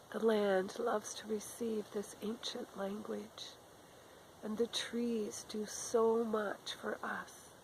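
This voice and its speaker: A middle-aged woman with a cold talks softly outdoors in the woods, her voice raspy and gravelly and often dropping to a whisper. She speaks very slowly and gently, in a calm spiritual tone. Faint outdoor sounds and a crow can be heard behind her. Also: medium pitch, a little hiss or hum, rough recording. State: has a cold. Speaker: spiritual channeler. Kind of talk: slow, gentle spiritual talk to camera. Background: faint outdoor ambience with a crow.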